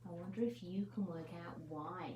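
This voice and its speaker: A woman's voice: lowish voice, normal speed, mellow, muffled recording. A woman leading children's story time as a teacher, encouraging and asking her listeners questions. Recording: echoey room, faint noise.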